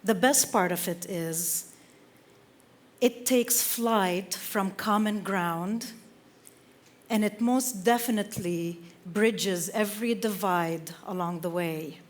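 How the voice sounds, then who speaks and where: A woman from Lebanon giving an inspirational stage talk, slow and measured with dramatic pauses between phrases. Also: mid-range voice. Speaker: speaker. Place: theater stage.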